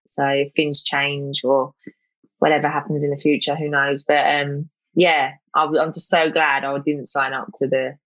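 A woman's voice: low voice, no echo, muffled recording. Chatty woman with a South East London accent. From England. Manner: chatty, many fillers and restarts. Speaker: interview guest, wrestler. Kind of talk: casual video interview.

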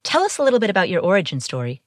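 A woman's voice: mid-range voice, huge pitch swings, racing, no room sound, clean studio sound. A woman with an American accent speaking as a podcast host and interviewer. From Ohio, United States. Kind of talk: scripted podcast intro and interview.

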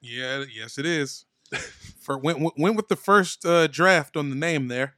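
Male comedy podcast co-host, slightly high voice, playful, loose, quick to riff on a bit. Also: sharp tone. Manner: playful, riffing. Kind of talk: casual comedic banter.

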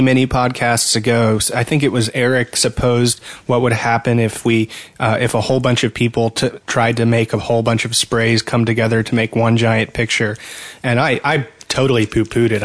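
A man's voice: mid-range voice, no echo. A man co-hosting a podcast in informal, chatty speech. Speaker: podcast co-host. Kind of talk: casual podcast chat.

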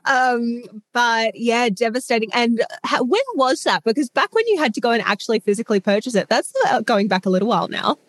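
Croaky upbeat woman host. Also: on the high side, a little fast, hardly any pauses, no echo. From Australia. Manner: upbeat, chatty. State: croaky voice after illness. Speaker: podcast host. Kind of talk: casual interview.

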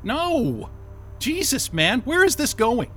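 A flustered, cynical out-of-work techie in an audio drama. His voice is high and piercingly sharp, swinging widely as he blurts and swears in exasperation. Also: huge pitch swings, slow, husky, faint music behind, full character voice. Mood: defensive, irritated. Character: flustered, cynical jobless tech worker under interrogation. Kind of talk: naturalistic audio drama acting.

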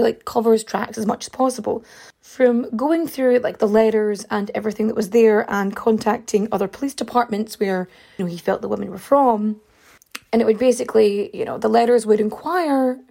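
Chatty woman host, kinda high voice, lots of "like", voice going a bit hoarse. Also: a little mellow. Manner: chatty, many fillers, big pitch swings. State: losing her voice. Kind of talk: casual storytelling.

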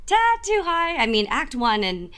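A woman's voice: high voice, a little slow, heavily acted. A woman playing an exasperated musical-theatre writer with a sarcastic, wry delivery. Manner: sarcastic, animated. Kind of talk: scripted audio comedy acting.

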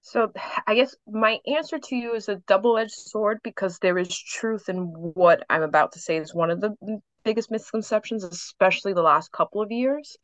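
A woman's voice: mid-range voice, normal speed, few pauses, no room sound, low-quality recording. A New York-born woman whose first language is Haitian Creole, chatting and reflecting with frequent laughs as a guest on a podcast interview. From New York City. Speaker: author and guest.

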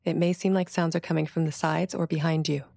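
A woman reading a show's sponsor message and content warning in a smooth, sultry way. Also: low voice, fairly even intonation, a little fast, warm tone, dry recording, studio quality. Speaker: show announcer. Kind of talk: scripted announcement.

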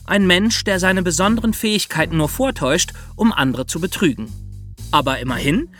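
A man, co-hosting a podcast in a lively, explanatory way. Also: high voice, brisk, soft background music. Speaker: podcast co-host.

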